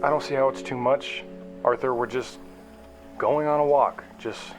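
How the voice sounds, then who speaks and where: Man acting restless explorer with halting speech, over music. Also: mid-range voice, fairly even intonation, natural voice. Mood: frustrated, pleading. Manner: halting, repeats and restarts phrases. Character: restless young-ish man, earnest, frustrated explorer. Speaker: narrator and lead character. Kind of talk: audio drama acting, conversational monologue and dialogue. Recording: music behind the voice.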